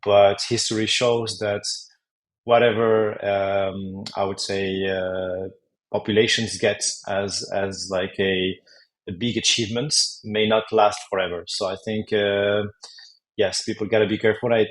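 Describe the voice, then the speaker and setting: Low-voiced man speaking haltingly. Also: flat delivery, rough recording. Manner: measured, hesitant, searching for words. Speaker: podcast guest, company founder. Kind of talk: casual interview talk.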